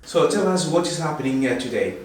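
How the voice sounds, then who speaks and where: A man interviewing a guest, asking questions in halting, slightly broken phrasing. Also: on the high side, animated. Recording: distant, very echoey room.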